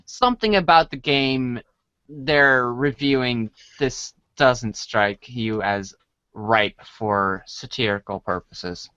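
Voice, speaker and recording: A man narrating a storybook read-along as co-host, reading haltingly with stumbles, on a dry, slightly dull recording. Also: mid-range voice, sharp tone, smooth, no room sound.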